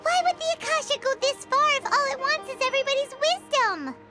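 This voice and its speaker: A woman voicing a tiny, childlike, excitable fairy companion in an anime video game, exclamatory and dramatic. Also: very high voice, fairly quick. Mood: puzzled. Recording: background music behind the voice.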